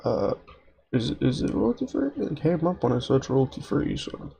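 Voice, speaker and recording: A man's voice: a little high, very animated, moderate pace, creaky, warm tone. A man streaming from home, a little nasal while recovering from an illness, rambling to himself as he sets up his stream. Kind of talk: casual stream setup chatter.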